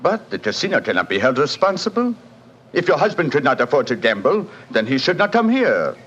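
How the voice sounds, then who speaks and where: An actor playing a suave, defensive, formally unctuous casino director in a 1940s radio drama. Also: male voice, medium pitch, big pitch swings, brisk, a bit bright, breathy edge. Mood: smooth, defensive. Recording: muffled, noisy 1940s radio recording.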